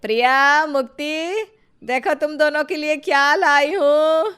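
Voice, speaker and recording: Bossy older mother-in-law for a cartoon moral tale, acted by a female narrator: high-pitched, scolding, jealous, grumbling. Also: slow pace. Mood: calling out. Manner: scolding, grumbling, forceful delivery. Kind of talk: animated moral story character voice.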